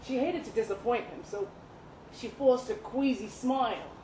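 High-voiced woman reading a story aloud. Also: normal speed, warm tone. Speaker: story reader at a live literary event. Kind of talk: dramatic literary short story reading. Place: indoor live reading event at a pub venue. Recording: roomy live venue, some background noise.

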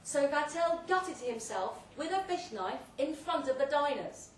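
Woman as a grandiose, drugged celebrity chef, swooping loudly. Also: sits high, expressive, a little slow, voice pushed up. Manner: declamatory, pompous, emphatic. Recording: roomy, distant live recording, faint noise.

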